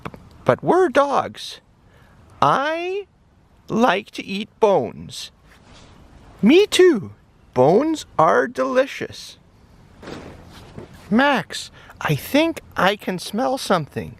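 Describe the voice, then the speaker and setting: A man voicing an eager, playful little dog in a singsong, excitable way for a children's story. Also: very high voice, very slow, creaky, pauses often, exaggerated voice. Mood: excited. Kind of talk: children's animal story role-play.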